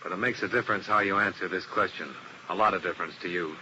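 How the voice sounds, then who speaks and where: A man's voice: low voice, fast, bright, forceful tone. A man playing a tough, world-weary insurance investigator in 1950s radio detective style, clipped and terse. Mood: stern, pressing. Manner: clipped, hard-boiled, terse. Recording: noisy old radio broadcast recording.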